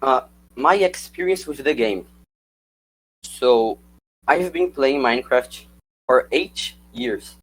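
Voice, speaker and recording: Young man, a native Portuguese speaker, reading a scripted talk to slides in an even middle pitch. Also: poor audio. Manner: reads prepared script, calls for slides. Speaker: student presenter. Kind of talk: prepared slide presentation.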